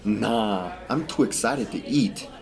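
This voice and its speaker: A man's voice: lowish voice, slow, vocal fry. A man playing a naive, eager, good-hearted dreamer in a radio drama, speaking in an earnest and polite way. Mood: excited.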